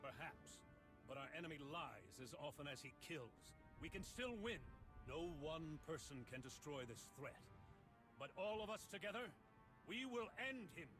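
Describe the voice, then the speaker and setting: High-voiced, earnest game hero. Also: male voice, big pitch swings, moderate pace, over music. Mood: resolute. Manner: rallying, earnest. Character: earnest heroic male Jedi leader, resolute. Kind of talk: video game heroic acting.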